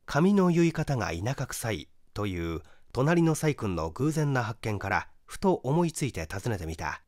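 A man reading a mystery story aloud as a dramatic audiobook, with measured, deliberate storytelling. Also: medium pitch, sing-song, darkish tone, no echo, studio quality. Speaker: story reader, small-theatre performer.

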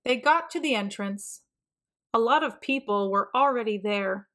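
A woman narrating a children's storybook in an expressive, deliberate way. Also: a little high. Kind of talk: read-aloud storybook narration.

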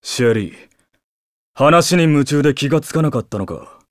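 A man playing an arrogant young demon warrior in an anime game, gruff, curt and scornful. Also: fairly high pitch, expressive, moderate pace, dry recording, studio quality, natural voice.

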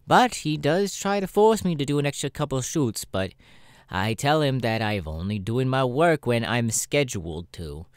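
A man voicing a sassy, cynical male demon adult performer in a casual, drawling audio roleplay, often pausing mid-thought. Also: medium pitch, clear, resonant tone, no echo, studio quality, natural voice. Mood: defiant, smug. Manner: hesitant, drawling, animated, irreverent.

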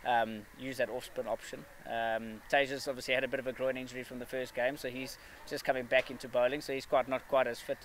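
A man in his thirties talks fast in an even, flat tone, stopping often on fillers and restarting his sentences. He answers post-match questions outdoors, with faint background noise behind him. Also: medium pitch. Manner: measured, many fillers and restarts. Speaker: cricket player at a press conference. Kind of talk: post-match press interview. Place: outdoor press area with a backdrop.

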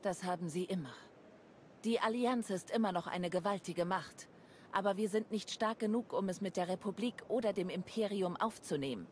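An actress playing a composed, serious woman adviser in a video game, measured and grave in manner. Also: medium pitch, a little hiss or hum, natural voice. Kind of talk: video game cutscene acting.

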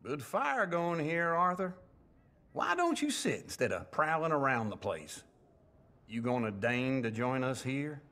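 An actor playing a grumbling older outlaw camp loafer in a western video game, with a gravelly American drawl. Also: male voice, mid-range voice, big pitch swings, unhurried, sharp tone, full character voice. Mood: genial, folksy.

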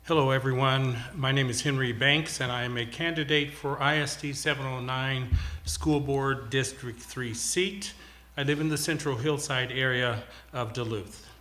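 A man's voice: mid-range voice, steady tone, normal speed, pushed and bright. A man giving a formal, declarative campaign speech, addressing his audience directly. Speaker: school board candidate. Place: TV studio. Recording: roomy, echoing studio sound.

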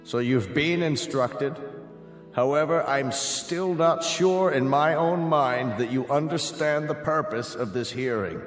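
A man's voice: on the high side, unhurried, soft background music, lightly acted. An actor playing a stern, officious male chancellor of a totalitarian state in a radio drama, speaking formally and imperiously. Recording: echoey hall reverb.

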